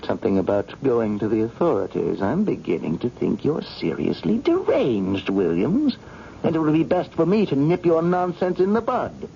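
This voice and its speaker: An actor playing a complacent, condescending company executive who threatens coolly and formally in a 1970s radio drama. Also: male voice, medium pitch, sing-song, moderate pace, vocal fry, mellow. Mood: threatening. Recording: muffled vintage radio with hiss.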